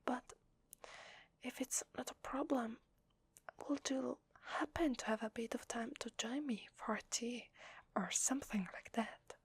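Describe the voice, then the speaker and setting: A woman with an Italian accent playing a shy, secretly needy vampire lady in a hesitant, breathy whisper for an ASMR roleplay. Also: on the high side, no echo, full character voice. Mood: polite, hesitant. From Italy. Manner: hesitant, polite, soft-spoken. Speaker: ASMR voice actor.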